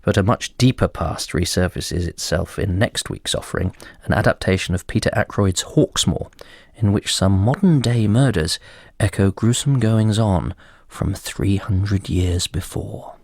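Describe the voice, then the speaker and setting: A British male radio presenter delivers scripted links. His lowish voice has plenty of creak, recorded close and dry. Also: unhurried, darkish tone, no room sound. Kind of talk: scripted continuity commentary.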